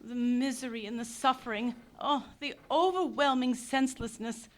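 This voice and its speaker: A woman's voice: high-pitched, normal speed, close to an everyday voice. Actress voicing a fiery young pacifist Englishwoman. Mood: somber. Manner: impassioned, declamatory. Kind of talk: live stage radio play acting.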